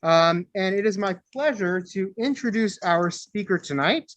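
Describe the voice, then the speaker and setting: A man's voice: high-pitched, lively intonation, unhurried, a bit bright, dry recording. A man hosting as rabbi, introducing a speaker on a video call in a chatty, hesitant way with many fillers. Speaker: rabbi and host. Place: room with people behind him. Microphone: camera.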